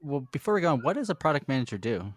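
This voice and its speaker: A man hosting a software development podcast, asking his guest questions in an upbeat, friendly way. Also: mid-range voice, sing-song, racing, no echo. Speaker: podcast host. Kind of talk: podcast interview.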